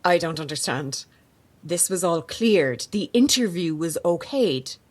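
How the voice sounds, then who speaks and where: A woman playing a sharp-witted, persistent investigative reporter in an audio drama, with an articulate, probing manner. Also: on the low side, lively intonation, unhurried. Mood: polite, puzzled. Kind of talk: naturalistic audio drama acting.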